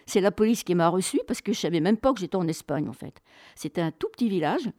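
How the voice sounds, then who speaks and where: Expressive, lower-voiced woman telling travel stories. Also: quick pace, no room sound, clean studio sound. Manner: chatty, colloquial, expressive, acts out dialogue. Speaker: interview guest, author. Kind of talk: animated travel storytelling.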